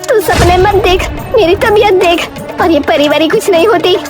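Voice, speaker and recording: A bitter, ailing village mother acted by a woman, scolding and dismissive. The voice is very high, in a cartoon moral-tale manner, with clear music behind it. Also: moderate pace. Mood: angry, disbelieving.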